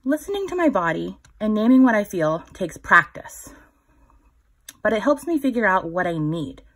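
Slightly husky woman reading a children's picture book. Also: medium pitch, sing-song. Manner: gentle, instructive, pausing to put questions to the child listener. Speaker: children's story reader. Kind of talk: read-aloud picture book storytelling for young children.

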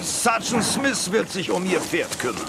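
A brusque general from a children's audio drama, on a noisy old recording. His male voice swings widely in pitch, with some creak. He speaks in a clipped, self-important military way. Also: big pitch swings, a little slow, full character voice. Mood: polite, boastful. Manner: barking orders, clipped military diction.

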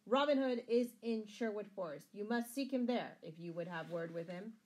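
A woman's voice: on the low side, normal speed, a little mellow, lightly acted, low-quality recording. A woman voicing a proud, loyal male outlaw captive in a storybook, with ringing, declamatory heroic speech. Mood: defiant.

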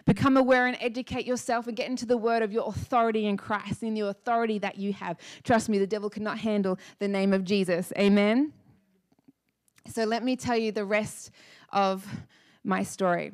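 A woman in her thirties preaching a sermon on a church stage into a handheld mic, energetic and emphatic, repeating key lines. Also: mid-range voice, normal speed. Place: church stage with dark blue lighting. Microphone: handheld microphone.

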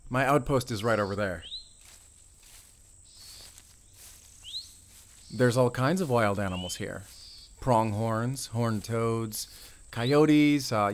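Audio drama role, male actor: excitable young male ranger, American accent, chatty and friendly. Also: medium pitch, a little hiss or hum, natural voice. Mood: friendly, proud. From Arizona, US. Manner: talkative, eager, rambling, with small chuckles. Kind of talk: roleplay audio drama addressed to the listener.

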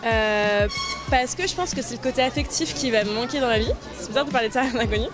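A woman's voice: fairly high pitch, over music, crowd noise. Frank young woman answering on the street. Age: young adult. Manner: thoughtful, frank, hesitant with many pauses. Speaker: passer-by interviewee. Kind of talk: candid street interview answers. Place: busy city sidewalk outdoors. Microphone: handheld mic held by interviewer.

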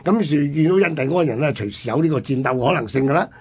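A man's voice: a little high, lively intonation, fast talker, darkish tone. A man with a Hong Kong Cantonese accent, telling history conversationally as a radio co-host.

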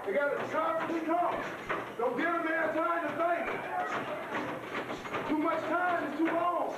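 A man loudly hyping up a boxer during a workout in an indoor gym, picked up by a camera from across the room. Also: extremely high pitch, unhurried. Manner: loud, excited, shouted encouragement. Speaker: hype man cheering a boxer. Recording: echoey gym, distant, noisy.

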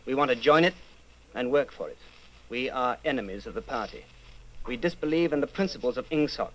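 Earnest male rebel, acted by a man, middle pitch, resolute, careful delivery, old-time radio drama. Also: expressive, a bit bright, a little hiss or hum, natural voice, low-quality recording. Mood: earnest, tense. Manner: earnest, deliberate.

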